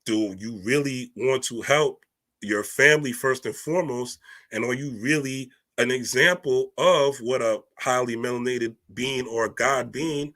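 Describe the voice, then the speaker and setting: Emphatic, bright-voiced male spiritual speaker. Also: medium pitch, measured pace. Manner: emphatic, preachy, keeps asking "right?"; streetwise slang, swears casually. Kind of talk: casual spiritual monologue.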